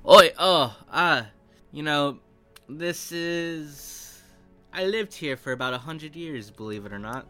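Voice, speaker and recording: An old dwarf artificer from a fantasy tabletop game, acted by a man, speaks in a pitch lifted above an everyday register. He is cheery and evasive, with a folksy, halting delivery full of muttered asides. Soft music plays behind him. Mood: breezy, evasive.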